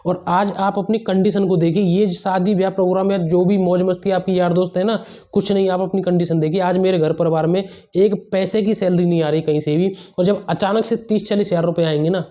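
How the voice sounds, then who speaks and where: A man with a high, soft-edged, mellow voice speaks as an exam coach. He is earnest and emphatic, advising students informally and repeating key phrases to drive them home. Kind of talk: motivational advice to students, informal.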